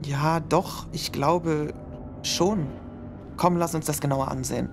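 A man's voice: on the high side, fairly even intonation, takes it slowly, over music. A young man acting an uneasy male student, a companion on a search in a horror audio drama. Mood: tense. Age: young adult. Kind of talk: German horror radio play acting.

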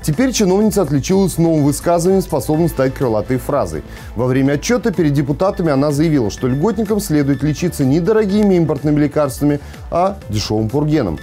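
Man in his thirties, TV news presenter, sardonic, mellow tone, music underneath. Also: a little high, animated, fast. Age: 30s. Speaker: news programme host. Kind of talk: scripted satirical news presenting. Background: music bed.

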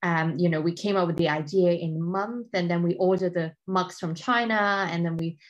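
A woman's voice: on the low side, steady tone, normal speed. A woman in her thirties from Hong Kong, giving a casual talk with slides over a video call, with frequent ums and restarts. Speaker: guest speaker.

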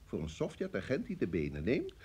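An actor playing a stern, impatient security service chief in a radio play, curt and probing. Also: male voice, fairly low pitch, huge pitch swings, brisk, warm tone, husky, heavily acted. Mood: stern, accusing.

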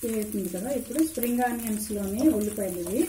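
An older woman giving homely, unhurried step-by-step instructions at her kitchen stove. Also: mid-range voice, steady tone, noisy background. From India. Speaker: home cook. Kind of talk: cooking demonstration voice-over. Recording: echoey kitchen with sizzling pan. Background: frying and sizzling.

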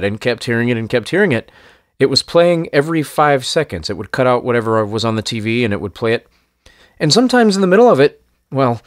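Male host, casual conversational storyteller, mid-pitched, recorded dry. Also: no room sound. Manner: chatty, conversational. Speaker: narrator and host. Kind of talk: casual first-person storytelling.

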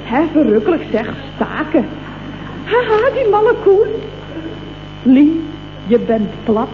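High, gushing, cheeky office colleague. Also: female voice, sing-song, takes it slowly, full character voice. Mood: gleeful, excited. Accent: Dutch, from the Netherlands. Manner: gushing, exclamatory, teasing. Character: chatty, gleeful, cheeky female office colleague. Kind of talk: late 1960s comic radio play acting. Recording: tinny, phone-like old radio recording, faint noise.

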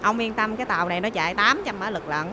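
A woman's voice: medium pitch, expressive, quick pace, noisy background, close to an everyday voice. A woman voicing a small, mischievous cartoon rabbit, cheeky and nonchalant, with a southern Vietnamese accent. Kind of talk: animated comedy dialogue. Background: music.

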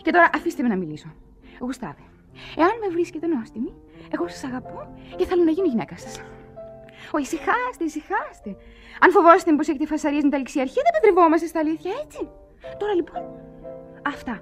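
A headstrong young woman in love, in radio theatre style. Her voice is high and swoops freely as she insists and pleads impatiently, with music behind her. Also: big pitch swings, long pauses. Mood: coaxing. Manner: insistent, impulsive, bossy and pleading. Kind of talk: Greek radio theatre acting.

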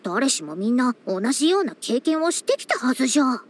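A woman's voice: high-pitched, big pitch swings, brisk. A woman voicing a youthful-sounding, century-old female scholar in a game, proud and bossy, with haughty old-fashioned phrasing. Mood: flustered, indignant. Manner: archaic old-person phrasing, haughty, schoolmarmish. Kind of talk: scripted game character voice lines.

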